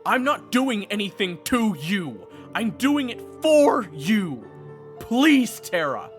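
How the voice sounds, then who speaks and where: An actor playing an earnest, urgent teenage knight in an audio roleplay drama, protective and stubborn, with dramatic, emphatic delivery. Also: male voice, high-pitched, big pitch swings, takes it slowly, soft background music, heavily acted. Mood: urgent, desperate. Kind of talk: audio roleplay drama with first-person narration.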